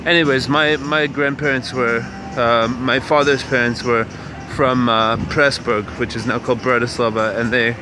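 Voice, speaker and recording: A man's voice: medium pitch, flat delivery, normal speed, few pauses, over music. A young man from Canada hosting a casual walking vlog, musing aloud with many fillers and restarts. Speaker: vlog host. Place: outdoors on city streets. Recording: outdoor street noise.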